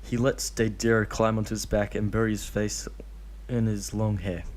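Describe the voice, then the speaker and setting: Young man, lowish voice, casual fanfic reading with slips. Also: level tone, moderate pace, a little hiss or hum. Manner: casual reading, stumbles over names. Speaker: fanfic reader.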